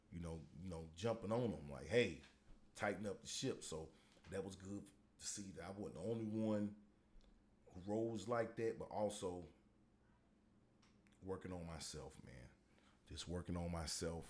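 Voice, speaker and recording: A man with a very low, deep voice talks through a solo podcast in a loose, rambling way, full of "um" and "you know". The delivery is casual and conversational, with a faint hiss of background noise under it. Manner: relaxed, rambling, conversational with frequent fillers. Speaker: podcast host and middle school football coach.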